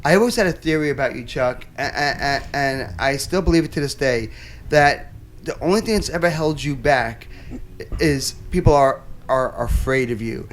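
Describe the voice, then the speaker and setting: A man's voice: mid-range voice, moderate pace. A man hosting an interview show, blunt and profane, stumbling over repeated words as he talks. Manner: stammering restarts, blunt and profane. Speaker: talk show host. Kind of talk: casual interview chat. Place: nightclub.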